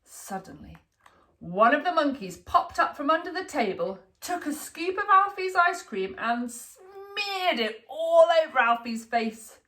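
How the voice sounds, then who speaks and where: Female storybook reader: slow, deliberate, expressive, bright-voiced, for young children. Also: high-pitched. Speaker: storybook reader, school staff. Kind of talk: expressive children's picture book narration.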